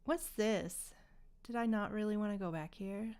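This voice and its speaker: A woman's voice: mid-range voice, moderate pace, a little mellow, clear, resonant tone. A woman livestreaming a game with casual, playful commentary, chatting to viewers and reacting aloud to what happens.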